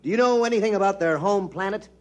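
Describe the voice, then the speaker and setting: A probing man played by an actor puts questions in a 1950s sci-fi radio drama. His voice sits slightly high, and his delivery is formal and insistent. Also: fast, a little mellow, dull audio, natural voice. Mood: pressing.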